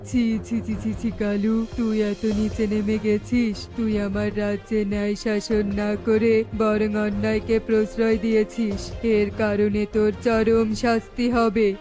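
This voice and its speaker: A woman's voice: mid-range voice, little pitch movement, measured pace, hardly any pauses, natural voice. A woman playing a self-important male bird of authority in a children's cartoon, talking emphatically over music. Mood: outraged. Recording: music behind the voice.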